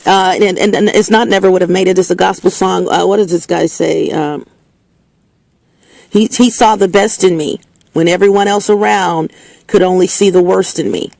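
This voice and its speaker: Gravelly, emphatic woman host, slightly hoarse. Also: fairly low pitch, fairly even intonation, moderate pace, a little mellow, no echo. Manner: emphatic, preachy, repeats herself with frequent restarts. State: slightly hoarse, voice lower than usual. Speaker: host of a spoken opinion segment. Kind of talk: casual opinionated monologue. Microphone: speaking into a recording setup with a level meter.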